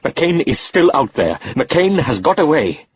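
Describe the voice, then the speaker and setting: A man voicing a furious adult male agent, a pilot who shouts over the noise of a plane. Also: medium pitch, animated, a little fast, highs cut off, voice pushed up, lightly acted. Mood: angry.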